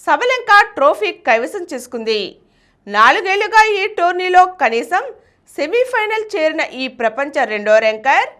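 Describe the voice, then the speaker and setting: A woman's voice: very high-pitched, pushed and bright. A woman news reader delivering a scripted bulletin in a formal, emphatic manner.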